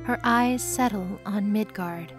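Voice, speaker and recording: A woman narrator reading scripted fantasy in a measured storytelling manner. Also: mid-range voice, music in the background.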